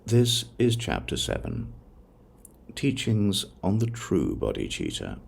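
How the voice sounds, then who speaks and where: A man reading a religious text aloud as a scripted audiobook, in a measured, solemn way with deliberate pauses. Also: low-pitched, wildly swooping pitch, vocal fry, breathy edge. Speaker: audiobook narrator.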